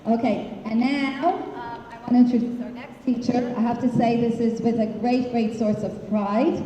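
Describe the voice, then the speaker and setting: A woman's voice: a little high, fairly even intonation, normal speed, a crowd in the background. A woman hosting a school ceremony who introduces the teachers with long lists of praise and a light joke. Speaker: school director and ceremony host. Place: hall. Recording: very echoey hall.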